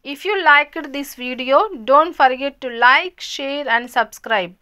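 A woman reading a scripted school lesson as a voice-over, stating each heading and then explaining it in a measured way. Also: high-pitched, pushed and bright. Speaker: narrator of a school lesson video.

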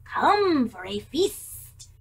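A woman voicing a sly male snake in a storybook, with a breathy, whispered hiss. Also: high-pitched, very animated, extremely slow, exaggerated voice.

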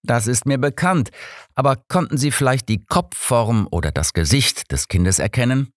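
Guy reading as old detective man in an audiobook, composed, formal, pitched up a bit from narration, very dry sound. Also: animated, no echo, close to an everyday voice. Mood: probing. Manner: formal, measured questioning. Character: elderly male detective, courteous, probing, composed. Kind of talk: audiobook character dialogue.

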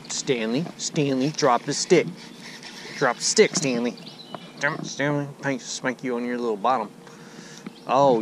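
American male angler, folksy and repetitive, mid-range voice, casual vlog chatter over clear outdoor noise. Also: medium pitch. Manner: playful, folksy, talks to fish and lures, repeats himself. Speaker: angler filming a fishing vlog. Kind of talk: casual running chatter while fishing. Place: outdoors on a bass boat on a lake. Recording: noisy outdoor boat audio, picks up everything.

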